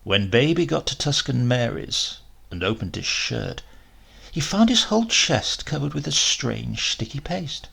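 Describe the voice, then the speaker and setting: A man reading a literary crime tale aloud as a dramatic, expressive narrator. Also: medium pitch, a little slow, vocal fry, slightly rough. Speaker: story reader.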